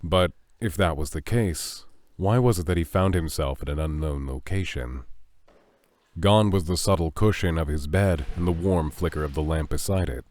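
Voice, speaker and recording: A man narrating a scripted audio drama in a measured, suspenseful storytelling manner. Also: a bass voice, a little mellow.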